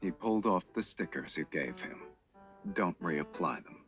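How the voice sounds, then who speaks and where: An actor playing a smug, protective game love interest who teases slowly and calmly. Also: male voice, low-pitched, soft background music. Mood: teasing. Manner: teasing and unhurried.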